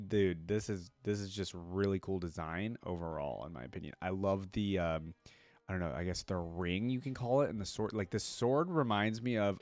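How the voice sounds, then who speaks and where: Man, low voice, casual reaction host, slangy, informal, close dry recording, music behind. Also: darkish tone, dry recording. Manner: informal, slangy, thinking aloud.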